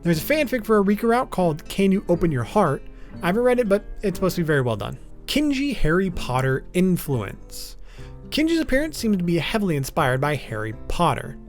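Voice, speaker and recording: A man with a fairly high voice narrates a scripted video essay in a casual, informal way. His intonation swings in a lively way and he slips in wry asides. Music plays underneath. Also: fairly quick. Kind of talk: informal scripted commentary on a list of topics. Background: background music.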